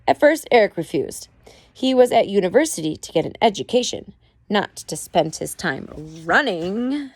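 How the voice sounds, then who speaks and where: A woman reading a biography aloud at home in a measured storytelling way, with expressive emphasis. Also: mid-range voice. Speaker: parent reading aloud to child. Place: at home with a child nearby.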